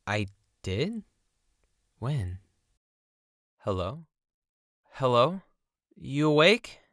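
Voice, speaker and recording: Man playing an easygoing young boyfriend, lively pitch. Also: extremely slow, no room sound, studio quality, full character voice. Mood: amused, puzzled. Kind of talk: dramatic horror story dialogue.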